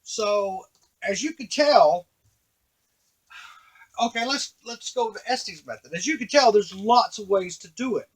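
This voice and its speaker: A man's voice: high-pitched, unhurried, long pauses, slightly rough, low-quality recording. A man giving a casual, unscripted tutorial on a device, explaining step by step to the viewer as he works. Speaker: device demonstrator.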